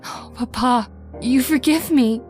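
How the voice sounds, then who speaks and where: An actress playing a devoted young married daughter in an old-time radio horror drama, with an earnest, melodramatic delivery. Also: female voice, high-pitched, a little slow, darkish tone, faint music behind. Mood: tender, grateful.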